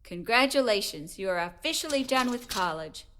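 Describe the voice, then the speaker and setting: A woman's voice: on the high side, animated, normal speed, fairly bright tone. A woman playing a patient, cautious middle-aged mother of a grown son in an audio drama. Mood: supportive.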